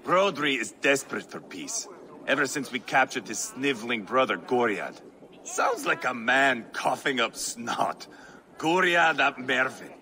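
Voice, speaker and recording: A brutal scarred Viking warlord, crude and mocking, his voice swinging widely in pitch and dragging out slow. He speaks in a long-echoing hall over a strong game score. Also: animated, bright, forceful tone, a little hiss or hum. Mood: sneering. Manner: crude, taunting, sneering.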